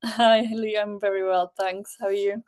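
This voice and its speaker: A young woman from Belgium whose first language is Dutch, a spoken word poet talking casually in an interview, with frequent pauses and soft laughs. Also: medium pitch, flat delivery. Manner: hesitant, with frequent ums and laughs.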